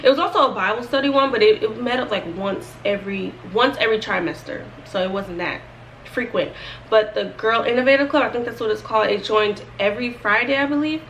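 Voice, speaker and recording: A young woman in her early twenties, chatting through a rambling storytime with lots of fillers and restarts. Her voice has a gravelly fry, and she sits a bit back from the mic, with faint hiss behind her. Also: a little high, a little fast, a bit bright, distant mic, rough recording. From Florida, United States. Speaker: vlogger. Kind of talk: casual storytime.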